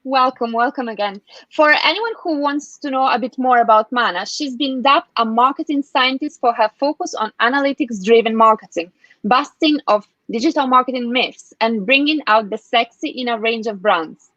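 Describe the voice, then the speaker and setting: A woman's voice: a little high, normal speed, a bit bright, dry recording. A woman hosting a live online interview, effusive in her wording and a little hesitant in phrasing. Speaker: host, interviewer. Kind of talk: live online interview, scripted introduction and questions. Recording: dull, lo-fi, dry sound.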